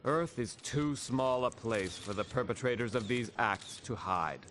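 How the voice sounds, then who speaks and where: A man playing a solemn, resolute American president making a deliberate, oratorical speech in a video game. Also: medium pitch, a bit bright, a little hiss or hum, close to an everyday voice. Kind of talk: scripted political speech in a game.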